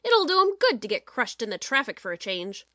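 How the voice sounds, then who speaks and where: Studio producer played by a woman, high and very animated, imperious, menacing, quick to outrage, for a dramatized story. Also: no echo, full character voice. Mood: petulant.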